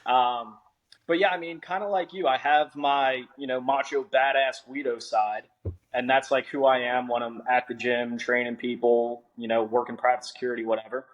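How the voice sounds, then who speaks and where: Young adult man, easygoing and wisecracking, average pitch. Casual interview guest. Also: fairly even intonation, moderate pace, lo-fi sound. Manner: laid-back, joking, swears freely. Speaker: author guest.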